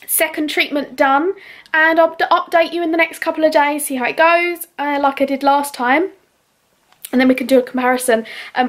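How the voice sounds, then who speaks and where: A woman in her twenties with a British accent, chatting casually in a beauty vlog from her bedroom, filming at arm's length with lots of ums. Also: sits high. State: losing her voice, under the weather. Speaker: beauty vlogger. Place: bedroom at home, indoors. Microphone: handheld camera or phone at arm's length.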